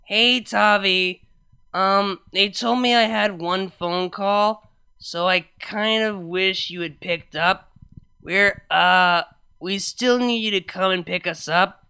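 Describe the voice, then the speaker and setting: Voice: mid-range voice, fairly even intonation, slow pace, creaky, pushed and bright, clean tone, full character voice. A performer voicing a brash, drunken female party pony who talks in slangy, slurred exclamations. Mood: sheepish, annoyed.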